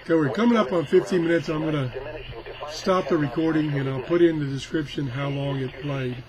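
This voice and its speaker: Higher-voiced man rambling through a gadget demo. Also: brisk, a crowd in the background, poor audio. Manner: rambling, thinking aloud. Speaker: video reviewer. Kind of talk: casual hands-on product demonstration. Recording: weather radio chattering in the background.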